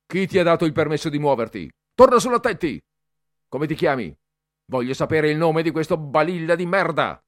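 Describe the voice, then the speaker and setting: A man's voice: on the high side, expressive, brisk, no echo, clean studio sound, voice pushed up, lightly acted. A man voicing a bullying young male cadet who barks harsh, sneering orders and mocks the boys. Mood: barking, bullying. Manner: barked orders, sneering shouts.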